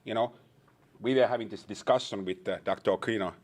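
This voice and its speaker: A man's voice: on the low side, brisk. A man from Finland with a Finnish accent, addressing a conference audience with wry humor and questions. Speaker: conference presenter. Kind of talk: conference panel talk.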